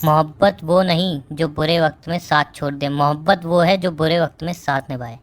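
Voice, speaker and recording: A man's voice: fairly high pitch, normal speed, close to an everyday voice. A young man playing a stubborn, evasive boyfriend who argues and justifies himself in a dramatized phone-call quarrel. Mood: unrepentant, teasing. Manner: argumentative, self-justifying.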